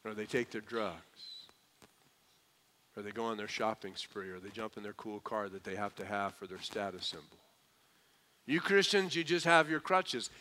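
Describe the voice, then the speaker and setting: A man preaching a sermon, lively and conversational, slowing down hard on key phrases and slipping into quick mock voices of doubters. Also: mid-range voice. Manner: lively preaching, mimics skeptics, slows for emphasis. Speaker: pastor.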